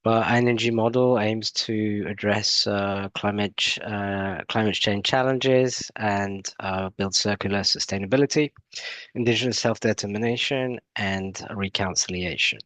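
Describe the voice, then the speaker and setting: A man with a British accent that has a twang, giving a webinar presentation with many pauses and restarts. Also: on the low side, steady tone, fairly bright tone, no room sound. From half French, half British. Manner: hesitant, many fillers and restarts. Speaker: guest presenter, energy company head.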